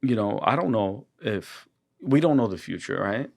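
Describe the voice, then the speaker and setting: A man's voice: fairly low pitch, expressive, moderate pace, vocal fry, no room sound. A young man, a guest artist, talking earnestly in a casual interview, often restarting and repeating words. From Colombian and Ecuadorian heritage.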